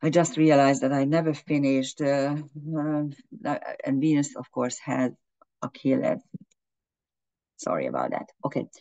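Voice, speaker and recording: A woman's voice: rumbling low, lively intonation, measured pace, mellow, rough recording. Fumbling woman astrologer. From Hungary. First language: Hungarian and German. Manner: fumbling, with frequent restarts and fillers. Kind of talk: explaining an astrology chart in a video.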